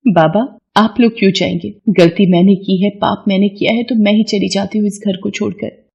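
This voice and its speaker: Casting: female narrator as earnest young rural woman in trouble, plaintive, medium pitch, for a moral tale. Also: steady tone, normal speed, gravelly, a little mellow, husky, muffled recording. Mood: pained, earnest. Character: troubled young unmarried pregnant village woman, self-sacrificing. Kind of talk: dramatised moral story.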